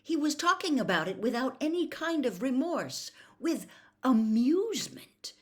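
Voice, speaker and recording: Female audiobook storyteller: unhurried, theatrical, highly varied intonation, medium pitch. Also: big pitch swings. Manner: theatrical, deliberate. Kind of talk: dramatic audiobook narration.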